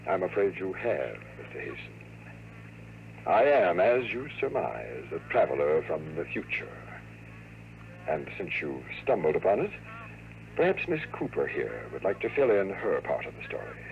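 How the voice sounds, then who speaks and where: A coolly formal radio villain, animated delivery. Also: male voice, low voice, normal speed. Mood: gloating, cold. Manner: formal, precise, menacingly polite. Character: scheming, power-hungry male time-traveler villain, coolly formal. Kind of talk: 1950s radio drama acting. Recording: noisy old radio drama recording.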